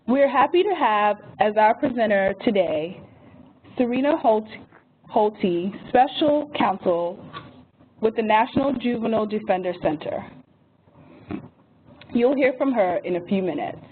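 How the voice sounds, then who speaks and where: A woman's voice: medium pitch, lively intonation. A woman moderating a webinar, reading housekeeping notes and an introduction from a script. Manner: reads phone number slowly and carefully. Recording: tinny phone-line audio with faint hiss.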